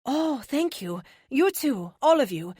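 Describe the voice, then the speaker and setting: A woman's voice: high-pitched, very animated, unhurried, a little mellow, no room sound, clean studio sound, voice pushed up. A woman voicing a polite, earnest foreign policewoman hero who speaks formally and courteously, in an audiobook. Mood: warm, respectful.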